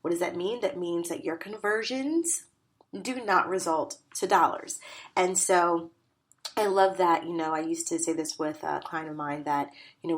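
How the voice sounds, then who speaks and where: A woman hosting a solo business podcast, nasal and congested from a cold, coaching listeners with an emphatic, repetitive delivery. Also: lowish voice.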